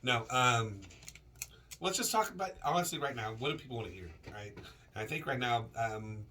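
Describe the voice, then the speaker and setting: A man co-hosting a casual radio show, a little sick with a deeper voice, rambling and repeating words. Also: lively intonation, normal speed, sharp tone, slight background noise.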